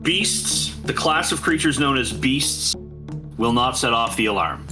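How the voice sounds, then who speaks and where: A man's voice: medium pitch, measured pace. A man chatting casually as a player in a tabletop game, with music behind him. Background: loud background music.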